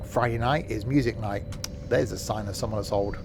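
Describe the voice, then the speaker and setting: A man's voice: on the low side, fairly quick, noisy background. A man, chatting casually and rambling with fillers while live-streaming a game.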